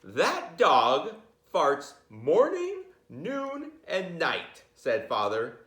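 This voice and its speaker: A man's voice: fairly high pitch, wildly swooping pitch, extremely slow, pushed and bright, reverberant. A middle-aged American man reading a children's book aloud with chatty asides, playful and theatrical, drawing words out for suspense.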